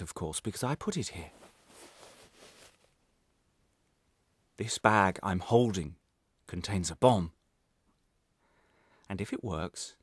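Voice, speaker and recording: Actor as a low-voiced, menacing, embittered man. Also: dry recording, clean studio sound. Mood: quietly menacing. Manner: deliberate, menacing, theatrical, swinging from hushed to agitated. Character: embittered, vengeful former prisoner menacing his captor with a bomb. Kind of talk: dramatic radio play monologue.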